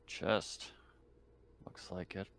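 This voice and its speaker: A man's voice: very slow, fairly bright tone, a little hiss or hum. A man playing a tabletop roleplaying game, talking casually, partly in character.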